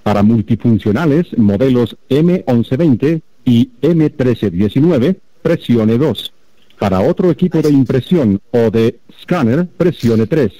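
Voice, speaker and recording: Male phone-menu announcer, very dark mellow tone, scripted delivery. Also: mid-range voice, expressive, unhurried, no room sound, poor audio.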